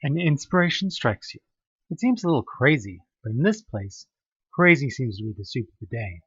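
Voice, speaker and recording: A young man narrates a creeping horror story in the second person, his voice swinging up and down to build tension. The tone is a little husky, and the recording sounds dull and lo-fi in a dry, close space. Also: medium pitch, big pitch swings, normal speed, a bit bright, dry recording. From Houston, United States. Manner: tense, suspenseful storytelling with occasional slips.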